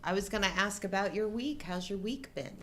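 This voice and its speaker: A woman's voice: mid-range voice, brisk, fairly bright tone. A middle-aged woman chatting casually as a co-host and vlogger. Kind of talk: casual chat.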